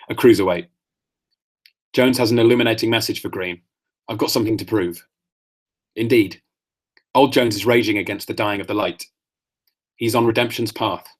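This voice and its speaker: Croaky-voiced man reading his essays. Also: lowish voice. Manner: measured reading that turns to offhand asides. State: voice getting croaky. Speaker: podcast host. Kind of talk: reading his own essays aloud, then casual chat.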